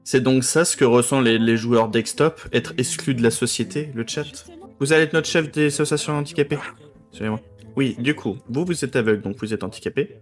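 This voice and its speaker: A man's voice: mid-range voice, brisk, soft background music. A man improvising playful roleplay banter as a streamer, theatrical and joking, prone to sudden outbursts.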